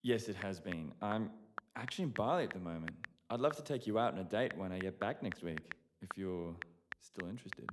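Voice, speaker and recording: An actor playing a charming, polite and apologetic young suitor in a naturalistic audio drama. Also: male voice, deep voice, expressive, a little fast. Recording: clearly noisy background.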